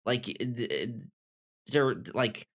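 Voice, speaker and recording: A man's voice: medium pitch, expressive, takes it slowly, fairly bright tone. A man co-hosting a casual book discussion podcast, thinking aloud and often repeating words as he goes. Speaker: podcast co-host reviewing manga.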